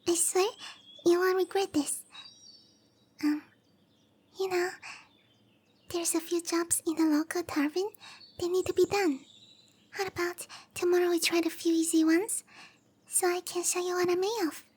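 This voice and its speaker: Casting: petite young cowgirl mage for fantasy roleplay ASMR; very high-pitched, unhurried, hesitant, bashful, eager to prove herself. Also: fairly even intonation. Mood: excited, grateful. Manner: bashful, hesitant, breathy murmurs between bright chatter. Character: tiny young cowgirl monster girl, shy eager support mage. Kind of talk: roleplay ASMR, anime-style character acting.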